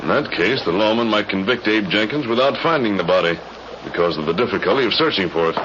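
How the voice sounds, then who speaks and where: Man acting resolute cowboy hero, low voice, earnest formal diction, old radio western, muffled noisy recording. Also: animated, fast talker, lightly acted.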